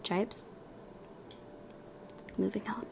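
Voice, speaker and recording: A woman's voice: extremely slow, a little mellow, a little hiss or hum. A young woman hosting a gaming video, reading story narration aloud and breaking into casual commentary.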